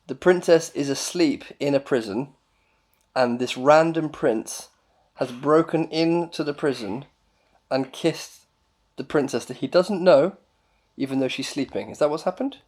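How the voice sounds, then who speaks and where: A man's voice: a little high, unhurried, a little mellow. A man talks casually with his young child as a father at bedtime. He sounds mock-concerned, picking things apart with questions. He explains his point patiently, in simple everyday words.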